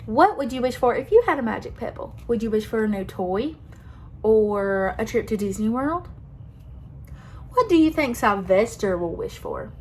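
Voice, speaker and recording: A young woman reading a picture book aloud to children, expressive and pausing to ask them questions. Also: a little high, a little hiss or hum. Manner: expressive, asks listeners questions. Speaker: children's story reader.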